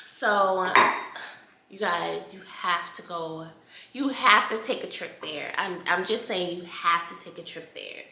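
An American woman in her thirties talks to camera in a casual, conversational vlog style. Her voice is bright and takes its time, with emphatic points along the way. It is recorded indoors with noticeable room echo. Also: lowish voice, unhurried, slight background noise. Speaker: home decor vlogger. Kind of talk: casual haul chat to viewers. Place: bright indoor room at home, seated at table. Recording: echoey room.

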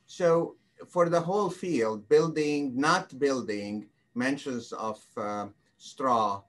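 A man interviews a guest over a video call. His voice sits in a middle pitch, and his questions wander, full of fillers and restarts. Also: takes it slowly, fairly bright tone. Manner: rambling, hesitant questions with many fillers. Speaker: panel interviewer, oncologist. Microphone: video call webcam.

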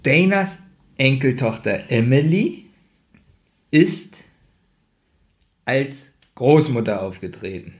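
A man's voice: medium pitch, very animated, slow, pauses often. A man talking informally as a podcast co-host, retelling and commenting on a story. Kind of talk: casual chat, retelling and critiquing a story.

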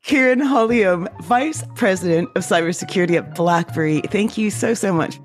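A woman co-hosting a podcast with a hoarse, cracky voice, chatty and quick to laugh. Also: medium pitch, faint music behind. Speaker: podcast co-host. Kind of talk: podcast interview and outro.

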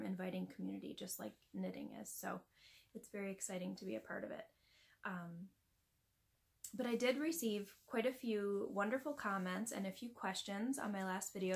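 A woman's voice: mid-range voice, gravelly, fairly bright tone. A woman in her thirties chatting casually to camera in a small home craft room, friendly and full of ums. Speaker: crafting vlogger.

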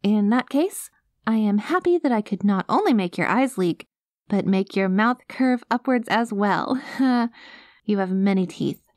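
A woman voicing a cute, gentle alien girl in an ASMR roleplay, naive and literal, with a hesitant, reassuring manner. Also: mid-range voice, animated, a little slow, no room sound, studio quality, close to an everyday voice. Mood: happy, reassuring.

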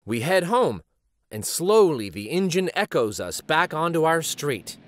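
A middle-aged man reads a picture book aloud as host, in an expressive, dramatic manner. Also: fairly high pitch, a little slow, a little hiss or hum. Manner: animated, dramatic. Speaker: children's storybook reader and show host.

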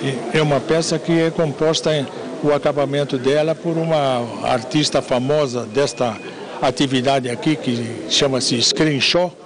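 A Brazilian man in his eighties answering in an interview, pausing haltingly between phrases. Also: a little high. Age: 80s. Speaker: retired pilot and collector being interviewed. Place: large indoor fair hall. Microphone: handheld interview microphone. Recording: noisy fair hall chatter.

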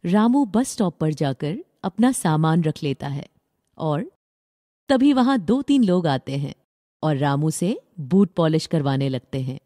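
A woman narrates a children's tale in a lowish, mellow voice. Her pitch moves in lively swings as she tells the scripted story. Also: a little slow, no echo, clean studio sound.

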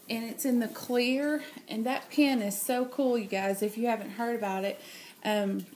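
A woman's voice: a little high, a little mellow, a little hiss or hum. A woman with a cold and a nasal voice, chatting casually as she shows handmade cards at home, hesitating often with ums. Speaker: craft vlogger. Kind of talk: casual show-and-tell. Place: home, at a table.

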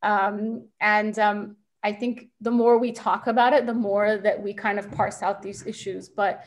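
A woman in her thirties speaking on a video call from her home kitchen, talking quickly and thoughtfully with many fillers. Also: mid-range voice, level tone, slight background noise. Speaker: invited speaker.

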